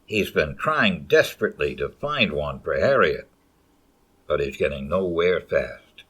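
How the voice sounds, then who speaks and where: A man playing an earnest 1940s radio announcer and pitchman, with a husky voice. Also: lively intonation, a little slow, bright, forceful tone, full character voice. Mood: mock concern.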